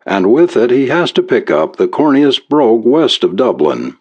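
A man voicing a brisk, plain-spoken and skeptical American police officer. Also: mid-range voice, big pitch swings, a little mellow, breathy edge, lo-fi sound, voice pushed up. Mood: incredulous.

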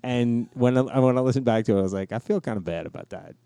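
A man's voice: mid-range voice, quick pace, dark tone, smooth, no echo. A middle-aged man co-hosting a casual podcast, telling stories with swearing and repeated words for emphasis. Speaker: podcast co-host. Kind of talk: casual podcast banter and storytelling.

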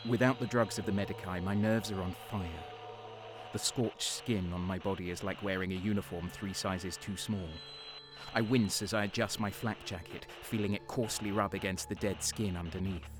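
Man acting a bitter wounded soldier, low and brooding. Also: steady tone, normal speed, noisy background, natural voice. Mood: grim, pained. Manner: brooding, grim. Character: bitter wounded guardsman narrating his torment, adult man. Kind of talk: dramatic first-person narration in a sci-fi war audio drama. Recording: dramatic music behind the voice.

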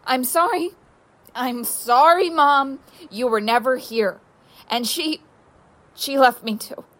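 A woman plays a young daughter in an audio drama with a high voice. She is defiant and wounded, arguing in a raw, confrontational way. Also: slow pace, a bit bright. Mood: hurt, bitter.

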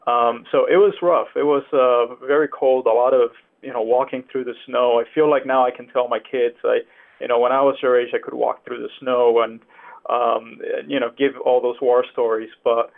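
A young man from Cuba talks through his answers in a level, unvaried tone, often pausing on ums and uhs. His voice comes through a thin, tinny phone line, and he sounds relaxed as an interview guest. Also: medium pitch, gravelly. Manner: hesitant, many fillers. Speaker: guest attorney.

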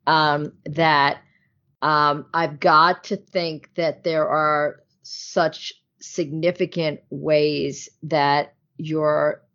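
A woman's voice: low-pitched, little pitch movement, drawn-out words, a bit bright. A woman hosting an interview, asking long questions with many restarts. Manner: wordy, searching questions with restarts. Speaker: interview host. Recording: dull lo-fi audio.

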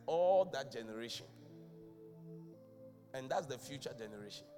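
A man's voice: mid-range voice, expressive, moderate pace. A man, a bishop preaching a live church sermon in a chatty, questioning way. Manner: conversational preaching, quizzing the congregation. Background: keyboard music under the voice.

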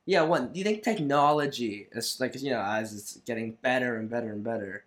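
A man's voice: medium pitch, fairly quick. A young man conducting a casual interview, informal and rambling, with lots of filler words as he works out his questions.

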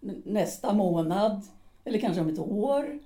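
A woman's voice: medium pitch, animated, mellow, natural voice. A woman playing an embittered middle-aged wife and former actress in an audio drama, talking with dry, cutting sarcasm. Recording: roomy room sound.

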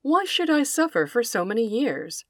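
A woman's voice: mid-range voice, animated, unhurried, darkish tone, no room sound, clean studio sound. A woman voicing a loyal, mistreated dog in a storybook tale, speaking sorrowfully in a raised voice.

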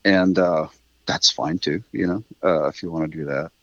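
A man's voice: very deep voice, moderate pace, dry recording, dull audio. A middle-aged man chatting reflectively as an interview guest, rambling thoughtfully and correcting himself as he goes. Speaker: interview guest, writer of a radio drama. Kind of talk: casual reflective interview chat.

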